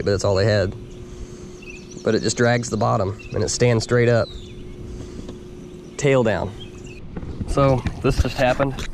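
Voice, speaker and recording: A tired young man from Indiana, hosting a fishing vlog from a kayak on a river, talking excitedly to a body camera as he fishes and losing his voice a little. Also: mid-range voice. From Indiana, USA. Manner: excited, rambling, talks to camera while fishing. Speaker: fishing vlogger. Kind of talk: casual on-the-water vlog. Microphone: action camera on head or chest. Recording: outdoor action-cam audio with noise.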